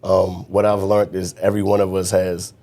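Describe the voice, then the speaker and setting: A man being interviewed as a mentor, talking in an earnest, reflective way with frequent restarts and a naturally loud voice. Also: deep voice, little pitch movement, normal speed. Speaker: guest mentor. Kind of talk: conversational interview.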